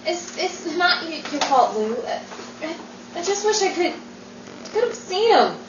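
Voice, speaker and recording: A woman's voice: very high voice, sing-song, background noise, exaggerated voice. A woman playing a fragile, stammering young girl. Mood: grieving. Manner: halting, stammering. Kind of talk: 1940s stage acting. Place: theater stage. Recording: echoey stage from far off, dull sound.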